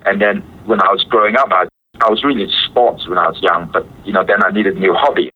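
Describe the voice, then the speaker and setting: A low, husky male voice comes through a thin phone line. He is a guitarist from Hong Kong whose first language is Cantonese, and he talks in a relaxed, chatty way, laughing easily. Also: quick pace. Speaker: guitarist guest. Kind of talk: phone interview. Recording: phone-line call audio.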